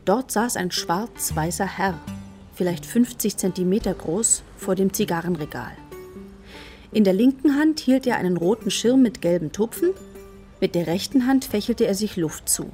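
A woman's voice: mid-range voice, animated, normal speed, warm tone, faint music behind. A woman narrator reading a scripted children's story for a radio play.

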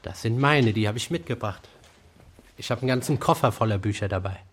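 An actor playing a playful, teasing, showy star hairdresser visiting a school in a children's radio play. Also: male voice, fairly low pitch, fairly quick, slight background noise, natural voice.

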